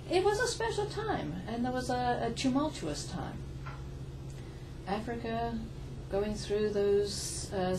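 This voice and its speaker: An older woman from Zimbabwe, a guest in a radio interview, gracious and quick to laugh. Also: medium pitch. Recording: distant mic with noticeable noise.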